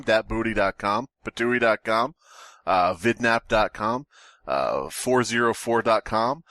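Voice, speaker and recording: A man's voice: fairly low pitch, pushed and bright, no room sound, clean studio sound. A man hosting a casual comic podcast, rambling along in a wry, joking way. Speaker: podcast host.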